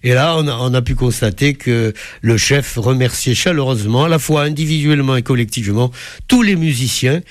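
A man's voice: mid-range voice, a little fast, no echo, studio quality. A man giving an enthusiastic spoken concert review as a music critic, effusive and fond of literary turns of phrase.

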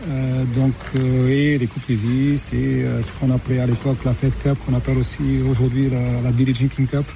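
A man's voice: mid-range voice, level tone, moderate pace, creaky, background noise. A middle-aged man from Portugal, a tennis referee being interviewed, talking with many hesitations and restarts. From Portugal, born in Mozambique, raised in Lisbon. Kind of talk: radio interview, recounting career.

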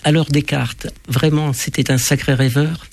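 A man hosting a radio interview, asking formal, measured questions with frequent fillers. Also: fairly high pitch, steady tone. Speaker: radio host.

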